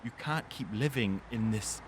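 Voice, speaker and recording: A man's voice: moderate pace, natural voice. A young man playing an earnest, troubled teenage lad burdened by growing up, reflective in manner, in an amateur audio drama. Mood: earnest, reproving. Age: young adult. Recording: noisy amateur recording, environmental rumble.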